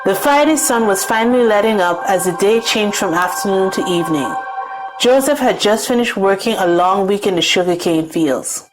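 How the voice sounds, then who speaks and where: A woman's voice: mid-range voice, lively intonation, normal speed, low-quality recording. A woman storyteller giving scripted, dramatic narration of a folktale. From Caribbean. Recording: music bed behind the voice.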